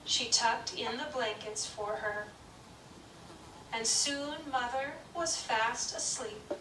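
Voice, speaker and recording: A woman storyteller narrating a puppet show story in a gentle, measured reading. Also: a little high. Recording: distant, roomy, noisy room.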